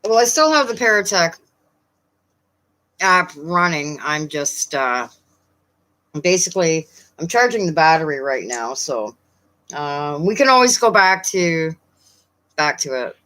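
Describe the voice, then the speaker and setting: A woman with a Newfoundland accent chatting casually with viewers on a live stream, often pausing with fillers. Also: deep voice, sing-song, a bit bright, poor audio. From Newfoundland, Canada. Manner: chatty, hesitant, many fillers.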